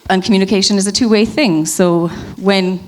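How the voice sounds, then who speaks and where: A woman in her forties, a panel guest, speaking in a thoughtful, measured way with frequent pauses and ums. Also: medium pitch, fairly even intonation. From Ireland. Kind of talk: panel discussion.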